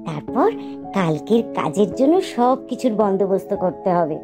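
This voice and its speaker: A gentle, courteous cartoon mother duck, voiced by a woman, speaking in a deferential, polite way. Clear music plays behind her. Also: medium pitch, lively intonation, moderate pace, natural voice. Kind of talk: cartoon voice acting. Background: background music.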